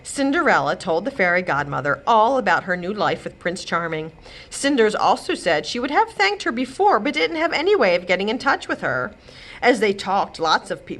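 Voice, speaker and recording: Female read-aloud narrator for children's chapter books, lively and expressive, medium pitch. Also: normal speed.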